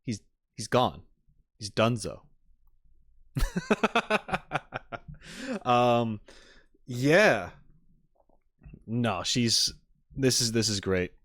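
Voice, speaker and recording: A man giving casual livestream reaction commentary to game trailers, rambling and halting as he rephrases his thoughts. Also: mid-range voice, expressive, fairly bright tone, no echo, clean studio sound.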